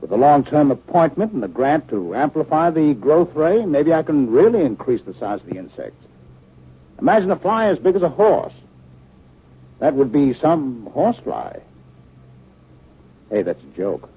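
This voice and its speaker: An actor playing an eager, impatient scientist husband in a 1950s radio drama, brisk and condescending. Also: male voice, fairly high pitch, big pitch swings, slightly rough, exaggerated voice. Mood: enthusiastic, teasing. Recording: muffled 1950 radio recording.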